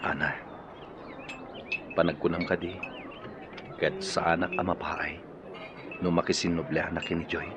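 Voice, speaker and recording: A man's voice: low-pitched, little pitch movement, normal speed, long pauses, close to an everyday voice. A man playing a modest, reserved, lovesick dutiful son in a radio drama, with a Filipino accent. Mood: hesitant, downcast. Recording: muffled, noisy radio drama recording.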